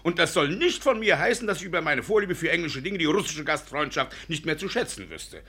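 An actor playing a middle-aged landowner father in a radio comedy, blustering and sentimental, with a raspy, spluttering delivery. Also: on the high side, sing-song, a little fast, sharp tone, heavily acted. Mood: boastful, sheepish. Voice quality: raspy, creaky. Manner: spluttering, wheedling, theatrically exasperated. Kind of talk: German radio comedy acting.

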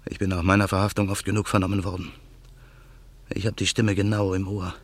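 An actor playing an embittered man who waits through the night for revenge, speaking his inner monologue slowly and in a hushed voice in a radio play. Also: sits low, flat delivery. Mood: brooding. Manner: slow, brooding, hushed. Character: embittered man awaiting revenge, male adult. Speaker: narrator and main character.

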